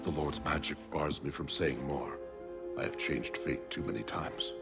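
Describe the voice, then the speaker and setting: Weary sorcerer, low voice, solemn, distant, music heavy. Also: male voice, a little slow, vocal fry, heavily acted. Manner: solemn, grave. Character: grave male mystic sorcerer, weary.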